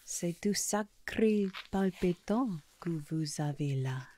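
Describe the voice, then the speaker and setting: A woman playing a gentle, polite Tin Man who longs for a heart, murmuring hesitantly in a soft-spoken ASMR roleplay. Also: lowish voice, expressive, takes it slowly, a little hiss or hum. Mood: searching, distracted.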